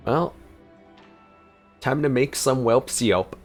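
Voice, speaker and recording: A man's voice: medium pitch, slow pace. A man giving informal gameplay reaction commentary, musing aloud as he plays, with game music behind him. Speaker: game streamer.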